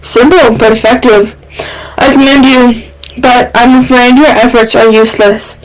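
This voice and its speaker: A woman's voice: a little high, fairly even intonation, moderate pace, lightly acted, poor audio. A woman voicing a shrewd, stern male investigator with a dry, serious delivery in a fan fiction reading. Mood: serious, unimpressed. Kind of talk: fan fiction character acting.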